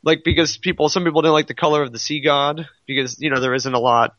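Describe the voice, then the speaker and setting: A man talks casually on a fan podcast in a bright, punchy voice, often circling back over his own words. The sound is very dry, though slightly dull and lo-fi. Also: on the high side, fairly even intonation, fast, no room sound. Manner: rambling, repeats himself, heavy use of "like". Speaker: podcast panelist.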